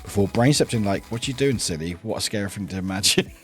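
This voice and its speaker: A man's voice: on the low side, fast talker. A man chatting casually with viewers on a livestream, rambling with frequent ums and restarts. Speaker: streamer.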